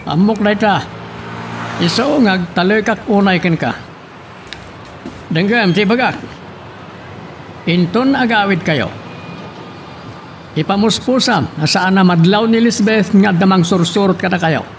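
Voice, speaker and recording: High-voiced scheming Filipino boss. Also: male voice, measured pace, long pauses. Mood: scheming, commanding. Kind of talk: Filipino radio drama acting. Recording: vehicle and street noise behind.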